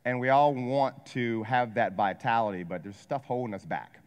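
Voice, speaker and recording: Man in his forties, energetic seminar speaker. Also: mid-range voice, normal speed, clean tone. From Ohio, USA. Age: 40s. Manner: energetic, asks the crowd questions, jokes. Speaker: wealth advisor and coach giving a seminar talk. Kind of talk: motivational seminar talk to a live audience. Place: large hall with a live audience. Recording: long hall reverb.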